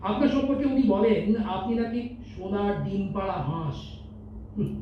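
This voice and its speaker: A man acts out a condescending, impatient company boss in a staged audio drama. His voice sits high for a man, and he scolds pompously, slipping into English. The sound is distant and very reverberant, as from a hall stage. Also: lively intonation, normal speed. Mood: reproachful, condescending. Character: stern, condescending male company boss, business-minded and impatient. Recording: distant echoey hall, dull, faint noise.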